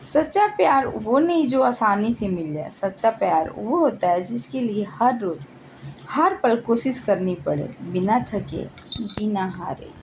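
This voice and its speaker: A woman's voice: medium pitch, lively intonation, measured pace, background noise. A woman narrating a scripted emotional story in a dramatic, sentimental way.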